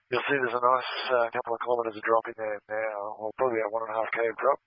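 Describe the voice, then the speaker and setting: A man's voice: fairly low pitch, little pitch movement, very fast, dry recording. A man with an Australian accent giving casual directions over two-way radio as an aerial firefighting coordinator. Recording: muffled dry aviation-style radio.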